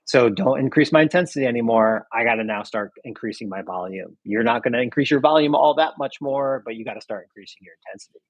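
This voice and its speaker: A man's voice: medium pitch, quick pace, hardly any pauses, no echo, lo-fi sound. A man, a cycling coach, explaining training ideas casually with many "right?" checks.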